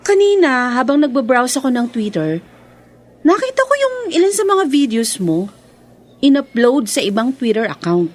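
High, animated young Filipina girlfriend. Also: moderate pace. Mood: concerned, helpful. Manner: expressive, doting. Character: affectionate, attentive young girlfriend. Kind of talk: Filipino radio drama acting.

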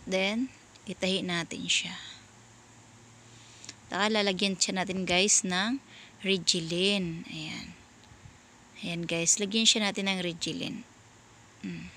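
A Filipino woman giving a casual step-by-step sewing tutorial in a halting way, often searching for words as she addresses viewers. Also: fairly low pitch, steady tone, sharp tone, a little hiss or hum.

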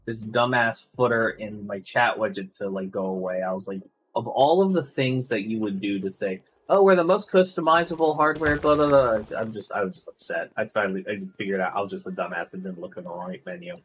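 A young man streaming a game from home on a headset, chatting loudly and casually, swearing and restarting often. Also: lowish voice, a little fast, hardly any pauses, a little hiss or hum, poor audio. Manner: loud, rambling, swears freely, many restarts. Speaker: streamer and podcast host. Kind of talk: casual chat while gaming. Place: home room at a gaming desk.

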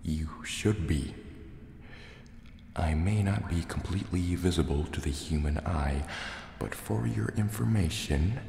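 A man voicing a wary, brooding sea serpent dragon in a soft-spoken ASMR roleplay, speaking hesitantly in formal words. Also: sits low. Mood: wary, curious. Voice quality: gravelly, softly spoken. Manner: slow, hesitant, formal wording. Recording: cavernous echo, long reverb, faint water noise.

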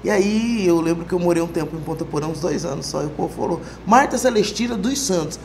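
A woman's voice: fairly low pitch, fast, vocal fry, a little mellow, slight background noise. A Brazilian woman telling an anecdote casually as a podcast guest. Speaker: guest, samba singer. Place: small wood-panelled TV studio, indoors.

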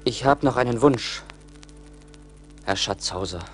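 A man's voice: medium pitch, measured pace, soft background music, close to an everyday voice. An actor playing a troubled man full of regret in a radio play, brooding and earnest. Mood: pleading. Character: troubled, pleading man regretting his lost heart. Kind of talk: 1980s German radio play acting. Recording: faint hiss of old vinyl record.

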